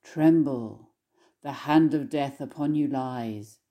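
Mellow-voiced woman reading in scholarly style. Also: very deep voice, slow. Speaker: scholar and poetry reader. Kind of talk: scholarly introduction and poetry reading.

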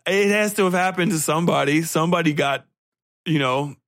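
A man's voice: a little high, a little fast, a bit bright, no echo, clean studio sound. A man hosting a book podcast, rambling through a casual review in blunt, irreverent, profanity-heavy slang. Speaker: podcast host reviewing books.

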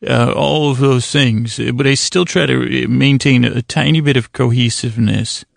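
A man hosting a bedtime podcast in a meandering, halting monologue, often interrupting himself and drifting off on tangents, with a creaky, gravelly voice. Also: mid-range voice, fairly even intonation, no room sound, studio quality. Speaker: podcast host telling bedtime stories. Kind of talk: rambling sleep-podcast monologue.

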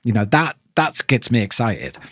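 A man with a medium-pitched voice and a husky grain, in a very dry recording. He speaks as a podcast co-host in relaxed, analytical conversation, reflective and a little halting in his phrasing. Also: no echo. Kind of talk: casual analytical discussion.

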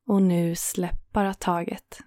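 Low, even-voiced woman guiding relaxation. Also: dry recording, clean studio sound. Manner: calm, soothing, unhurried with gentle pauses. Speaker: meditation guide and host. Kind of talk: guided yoga nidra relaxation.

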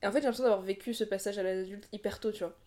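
Young woman, warm mellow tone, flat even delivery, reflective rambling podcast chat. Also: mid-range voice, very fast. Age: 20s. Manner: reflective, rambling with many fillers. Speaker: podcast co-host. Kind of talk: casual chat.

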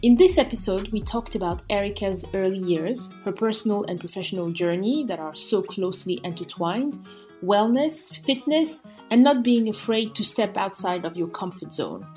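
A woman hosts an interview podcast in a mid-pitched voice, with some music behind her. She speaks in the style of a presenter introducing and questioning guests. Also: expressive, moderate pace, dark tone.

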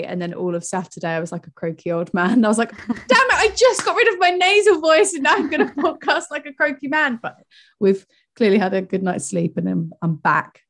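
A woman's voice: medium pitch, fairly quick. A woman, co-hosting a podcast in casual chat, sweary and laughing often. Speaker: podcast co-host.

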